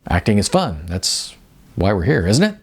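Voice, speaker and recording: A paunchy middle-aged fellow, as a male narrator reads him in a storybook. He is modest and good-natured, hesitating and stumbling through his words in a low voice with lively swings of pitch. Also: husky, exaggerated voice. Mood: cheerful. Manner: halting, hesitant, with ums and stumbles.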